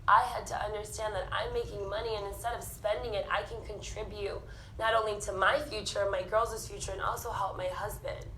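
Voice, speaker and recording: Candid woman in her thirties, bright. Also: mid-range voice, fairly quick, echoey room, slight background noise, poor audio. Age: 30s. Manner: candid, animated storytelling. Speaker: panel speaker. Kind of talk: personal testimony on stage. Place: theatre stage.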